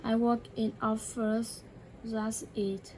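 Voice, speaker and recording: A woman practising English by repeating a dialogue line by line, slowly and carefully, with occasional self-corrections. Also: a little high, level tone, noisy background. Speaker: English learner.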